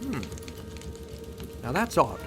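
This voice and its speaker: A man's voice: a little high, huge pitch swings, takes it slowly, music in the background, full character voice. A man playing a precise, clinical costumed hero doctor in a radio drama. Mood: puzzled, analytical. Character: brainy male costumed hero doctor with a scanning visor. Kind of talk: fan radio drama acting.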